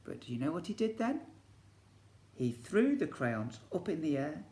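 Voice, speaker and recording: A man's voice: on the high side, very animated, moderate pace, a little mellow. A man, a teacher reading a children's story expressively and breaking off to ask his young listeners questions. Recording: echoey room.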